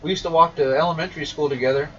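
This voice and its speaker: A man's voice: on the high side, fairly even intonation, rapid-fire, a bit bright, husky. A man chatting casually on a live stream as an informal, enthusiastic radio shop technician. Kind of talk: casual live stream chat, answering questions. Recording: muffled, distant mic with faint noise.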